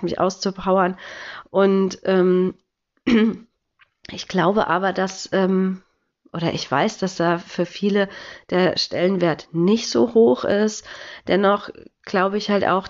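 A woman's voice: fairly low pitch, lo-fi sound. A woman in her thirties with a cold, hosting a podcast in a chatty, encouraging way with many filler sounds. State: has a cold. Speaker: podcast host. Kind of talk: casual podcast monologue.

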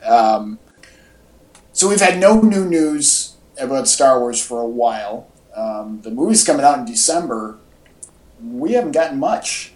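A podcast co-host with a mid-pitched voice talks in a casual, opinionated way. The thoughts ramble, with repeated and restarted phrases. The voice sounds set back from the mic in an echoey home recording. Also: a little mellow, distant mic. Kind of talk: casual movie chat, opinionated commentary.

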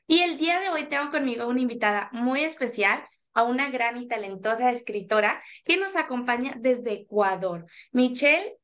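High-voiced Mexican woman hosting a podcast. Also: animated, normal speed, few pauses, fairly bright tone. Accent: Mexican Spanish. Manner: upbeat, enthusiastic exclamations. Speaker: podcast host. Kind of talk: casual interview chat.

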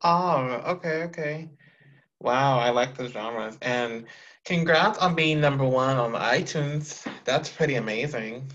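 An enthusiastic interview host who keeps repeating short phrases while hyping up the guest. The voice sits at a middle pitch. The audio is dull and lo-fi, like a call recording. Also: unhurried, fairly bright tone. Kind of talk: casual interview.

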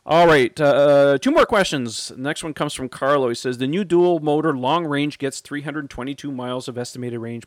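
A man's voice: medium pitch, no echo, clean studio sound. Chatty thirties man hosting a podcast. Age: 30s. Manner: chatty, laughs often, frequent fillers. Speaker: podcast host reading listener questions. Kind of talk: casual podcast chat.

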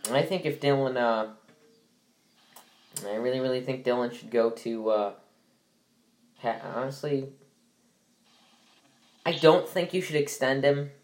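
A man's voice: medium pitch, unhurried, pauses often, darkish tone. A young man hosting a casual sports podcast, sounding stuffy from allergies and rambling with many fillers and restarts. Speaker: podcast host.

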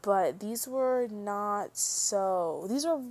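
A young woman in a casual monologue to camera, soft-spoken and prone to mumbling, rambling with lots of "like" and restarts. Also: mid-range voice, animated, very slow, dry recording. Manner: rambling, full of likes and restarts. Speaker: vlogger.

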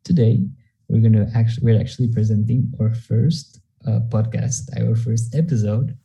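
A young man from Mexico hosting a podcast in casual chat, halting and leaning on "actually" and fillers. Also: lowish voice, fairly even intonation, gravelly, mellow, husky, poor audio. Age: young adult. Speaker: podcast host.

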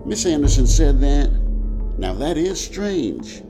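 An earnest, excitable little fellow from a crime story, acted out by a male narrator. His pitch sits raised and jumps about in animated swings as he talks at length in a polite, wordy way. Music is clearly heard behind him. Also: slow pace. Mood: puzzled, kindly. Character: skinny, polite little man, desperate then accusing. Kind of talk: dramatized crime story reading.

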